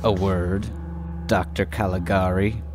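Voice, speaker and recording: A man playing a cold, laconic government agent in an audio drama, speaking in clipped, deadpan phrases. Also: low voice, unhurried, loud music behind the voice. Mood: cold, curt.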